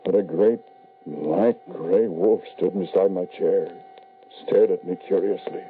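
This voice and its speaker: A man's voice: deep voice, a little slow. Anxious frail father, tinny old radio. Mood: stunned dread. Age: middle-aged or older. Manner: hesitant, stammering, pleading. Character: frail, grieving father, anxious and desperately loving. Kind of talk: 1940s radio horror acting. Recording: noisy, tinny old-time radio recording.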